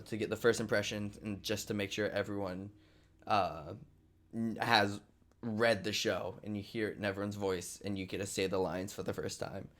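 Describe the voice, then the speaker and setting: A man's voice: lowish voice, a bit bright. A man casually hosting an online table read, chatting and explaining things to viewers. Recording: online group call livestream.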